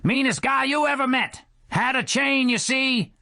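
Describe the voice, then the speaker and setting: A man's voice: extremely high pitch, a little slow, creaky, bright, forceful tone, no echo, clean studio sound, voice pushed up, full character voice. A man voicing a cranky, confused old skeleton bartender who rambles like a folksy old-timer in a comic storybook tale. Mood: excited reminiscing.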